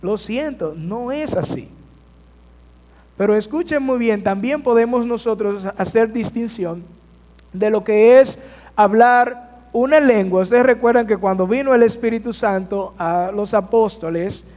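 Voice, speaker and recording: A high-voiced man preaches from the pulpit of a church, taking his time over each phrase. He keeps asking the congregation questions and answering them himself. The sound carries a long reverb from the sanctuary. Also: unhurried, a little mellow, dull audio. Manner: addresses congregation with rhetorical questions. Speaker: pastor. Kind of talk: sermon.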